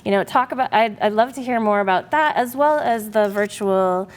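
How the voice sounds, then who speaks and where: A woman's voice: mid-range voice, moderate pace. A woman interviewing a guest in a casual, enthusiastic and supportive way, cheering and laughing along.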